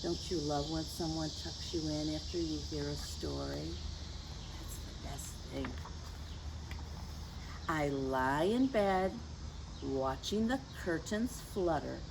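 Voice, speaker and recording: Female children's storyteller, slightly low voice, unhurried teacherly delivery, animated intonation, clear background noise on the recording. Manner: gentle teacherly, addressing young children with questions and asides. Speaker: children's story time host and reader. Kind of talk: picture book read-aloud for children.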